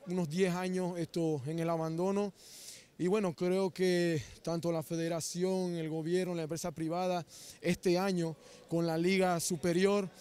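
Panamanian man, high smooth voice. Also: darkish tone. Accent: Panamanian Spanish. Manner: earnest, halting with fillers. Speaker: basketball player. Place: indoor basketball arena. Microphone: handheld mic held by interviewer.

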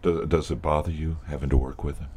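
A man playing an anxious, flustered radio station worker in a horror audio drama, halting and stammering. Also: a bass voice, steady tone, a little mellow, breathy edge. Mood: uneasy, hesitant. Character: uneasy, flustered male radio station colleague.

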